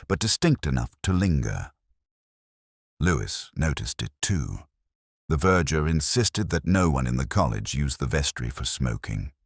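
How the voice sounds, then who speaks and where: A man playing a weary, sharp-minded police detective, narrating a mystery in a measured, literary, wry and brooding way. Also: extremely low pitch, no room sound, studio quality, heavily acted. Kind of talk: scripted first-person detective narration.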